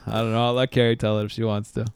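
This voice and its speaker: A man's voice: fairly low pitch, little pitch movement, rapid-fire, clean tone, no echo, clean studio sound. A man in his thirties bantering casually and crudely as a podcast co-host. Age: 30s.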